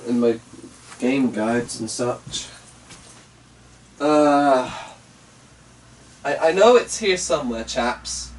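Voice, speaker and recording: A man hosting a casual vlog, rambling to his viewers in a muttering, distracted, mildly exasperated way. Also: a little high. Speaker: vlog host. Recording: distant mic with faint background noise.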